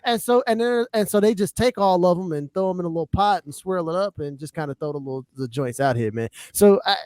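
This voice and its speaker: A man's voice: sits high, quick pace, a little mellow, no room sound, studio quality. An American man chatting casually as a music podcast panelist, giving opinions in a conversational, slangy way. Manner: conversational, frequent "you know what I'm saying".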